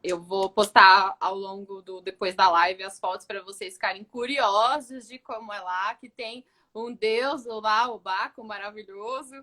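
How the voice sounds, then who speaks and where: A woman's voice: mid-range voice, fairly even intonation, unhurried, hardly any pauses, bright, forceful tone. A woman hosting a casual live interview, effusive and enthusiastic as she chats with her guest. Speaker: live stream host.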